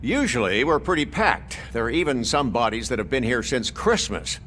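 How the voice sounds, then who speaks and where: Man playing a droll, lively morgue worker. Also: mid-range voice, normal speed, slight background noise, close to an everyday voice. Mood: wry, chatty. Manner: droll, dryly morbid chattiness. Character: wry, talkative male hospital morgue worker. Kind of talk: video game voice acting.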